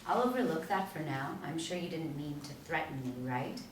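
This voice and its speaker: A woman's voice: sits low, lively intonation, fairly quick. A woman reading the part of a composed, skeptical FBI agent questioning a suspect, at a TV pilot table read. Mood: probing, dry. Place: small meeting room, seated in a row. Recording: echoey room, distant mic, faint noise.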